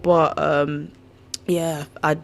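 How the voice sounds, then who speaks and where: A woman with a croaky, hoarse voice hosting a casual podcast, rambling and slangy as she chats. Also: low-pitched, level tone, very slow, soft background music. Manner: chatty, slangy, rambling storyteller. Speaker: podcast host. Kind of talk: casual chat.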